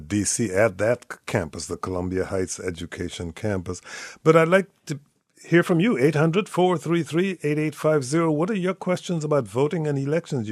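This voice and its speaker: An older man from Guyana hosting a radio call-in show, speaking in a measured, friendly broadcaster manner. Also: lowish voice, no room sound, clean studio sound.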